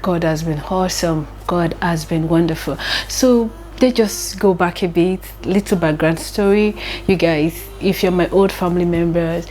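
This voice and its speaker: A woman's voice: lowish voice, moderate pace, dark tone, soft background music. An earnest, emotional Nigerian woman whose first language is Igbo tells a personal story in her vlog, often repeating phrases. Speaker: vlogger.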